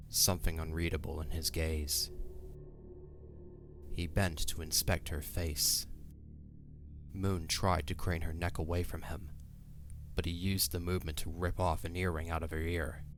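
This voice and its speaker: A man's voice: deep voice, flat delivery, measured pace, long pauses. A man narrating a fantasy audio drama in a dramatic, scripted storytelling style. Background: music.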